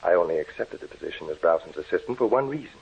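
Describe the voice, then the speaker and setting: An actor playing a guilt-ridden, lovesick scientist's assistant with earnest, brooding delivery in old-time radio style. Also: male voice, low-pitched, expressive, quick pace, gravelly, husky, full character voice. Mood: grim, intense. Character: troubled, guilt-ridden male scientist's assistant, desperately in love. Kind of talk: 1940s radio acting. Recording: tinny old radio horror recording.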